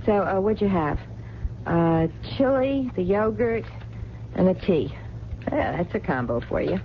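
Brassy late-night waitress, wisecracking but kind, very lively pitch, dull old radio recording. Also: female voice, unhurried, slight background noise. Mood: casual, amused. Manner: streetwise, wisecracking, colloquial. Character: brassy, soft-hearted, talkative late-night diner waitress. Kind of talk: 1970s radio drama acting.

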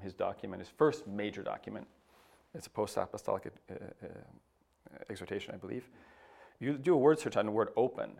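Halting, low-voiced clergyman. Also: creaky, darkish tone. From United States. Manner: hesitant, many restarts and fillers. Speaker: papal nuncio, interview guest. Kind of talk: thoughtful interview answers.

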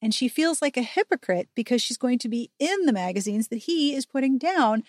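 A woman with a fairly high voice, recorded close and dry. She recaps in a chatty, opinionated way as a podcast host, dropping wry, emphatic asides. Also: lively intonation, brisk, no echo.

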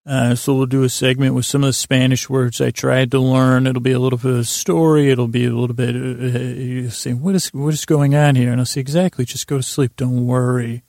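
A man hosting a bedtime podcast, rambling in a halting, meandering way with a gravelly, creaky voice. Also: medium pitch, flat delivery, a little mellow, no echo, studio quality. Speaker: podcast host. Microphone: close podcast microphone.

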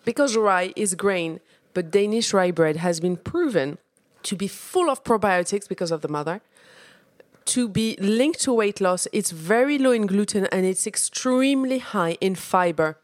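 A woman's voice: mid-range voice, expressive, normal speed, dry recording. Woman explaining with conviction, dry sound. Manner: explains health facts with conviction. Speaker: podcast co-host. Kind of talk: casual chat.

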